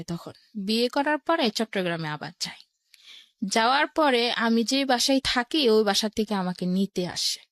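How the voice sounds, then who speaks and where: A woman's voice: fairly high pitch, no room sound. A woman with a Bangladeshi accent telling her own life story as an interview guest, in plain colloquial words, step by step.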